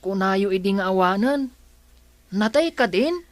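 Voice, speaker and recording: A woman's voice: mid-range voice, lively intonation, measured pace, no echo, lightly acted. A woman with a Filipino accent playing an earnest, questioning schoolboy son in a radio drama.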